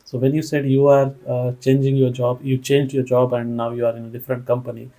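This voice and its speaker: A man's voice: mid-range voice, level tone, brisk, mellow. A young man hosting a casual interview and asking questions with many fillers. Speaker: host.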